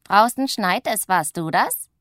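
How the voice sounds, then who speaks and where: A woman voicing a loud, bossy and mischievous witch who talks in a gruff, scolding way, with a breathy voice. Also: medium pitch, lively intonation, measured pace, no echo, clean studio sound. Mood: scoffing.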